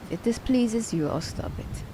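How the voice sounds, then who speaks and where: A woman playing a teasing, worldly female assassin in an ASMR roleplay, with a gravelly, mellow voice and a playful, unhurried delivery. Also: on the high side, expressive, background noise, lightly acted. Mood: relaxed, teasing. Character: teasing, worldly female assassin fond of her werewolf captive.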